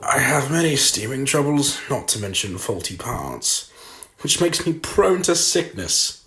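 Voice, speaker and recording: A man's voice: on the low side, fairly bright tone, heavily acted. A man voicing a kindly, sickly steam engine in an audio story, polite, hesitant and modest. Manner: hesitant, polite, self-deprecating.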